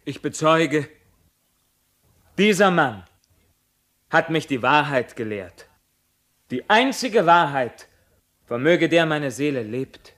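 An actor playing a solemn, unbowed captive prince in a theatrical radio drama, speaking in a grave, declamatory way. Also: male voice, fairly high pitch, expressive, slow, pauses often, fairly bright tone. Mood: solemn, impassioned. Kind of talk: theatrical German radio play acting.

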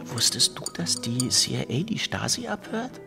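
Eager boastful husband in a radio play, mid pitch, confiding, music behind him. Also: male voice, very animated, moderate pace, gravelly. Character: boastful, eager husband, self-important and ambitious. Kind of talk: radio drama acting.

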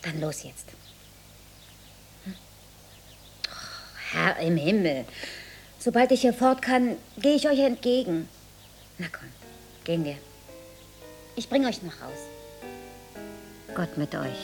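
An actress playing a worried, devout village mother who gives careful instructions in a radio drama, often in a hushed voice. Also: on the low side, big pitch swings, slow pace, pauses often, soft background music, exaggerated voice. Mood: pleading, prayerful. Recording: studio radio drama with clear background ambience.

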